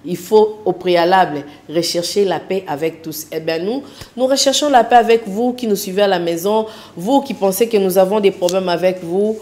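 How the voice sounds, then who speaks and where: A woman's voice: medium pitch, moderate pace, a little mellow. A woman hosting a TV show, talking in a preacherly way and mixing in Lingala. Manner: switches between French and Lingala, preacherly. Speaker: TV show host. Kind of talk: talk-show presenting with dedications.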